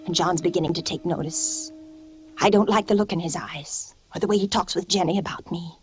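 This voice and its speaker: A woman's voice: fairly low pitch, fairly quick, a little hiss or hum, lightly acted. An actress playing an anxious, secretive young wife losing her mind in a suspense radio drama, with a dramatic delivery that drops toward whispers. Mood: feverish. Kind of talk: vintage suspense radio drama acting, first-person narration.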